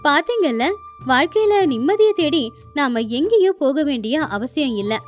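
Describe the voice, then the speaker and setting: A woman's voice: sits high, lively intonation, normal speed. A woman narrating a scripted moral story expressively. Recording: music behind the voice.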